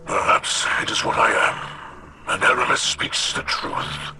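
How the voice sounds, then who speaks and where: A grave alien pirate captain played by a man, speaking slowly and formally in a breathy growl over loud music. The delivery is solemn and weighty, made for a video game cutscene. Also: close to an everyday voice. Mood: somber. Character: grave alien pirate captain, weary, fierce yet honorable.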